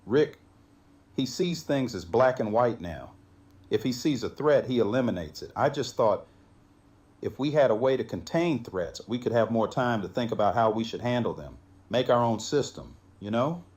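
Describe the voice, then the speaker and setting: A man acting as an earnest, conscientious survivor in an audio drama, speaking in a halting, reflective way. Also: mid-range voice, animated, a little mellow, natural voice. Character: Morgan, earnest, conscientious male survivor seeking mercy.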